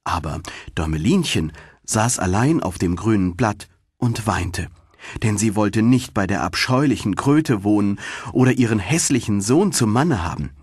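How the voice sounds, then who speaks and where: A male narrator reads a fairy tale in an expressive storytelling style. His voice is slightly low and husky, with very animated intonation. He tells it as a scripted audiobook. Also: moderate pace, no echo, studio quality.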